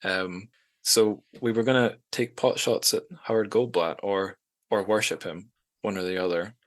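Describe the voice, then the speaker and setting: Low-pitched male podcast host. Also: fairly even intonation, moderate pace. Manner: informal, sweary. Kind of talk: casual chat.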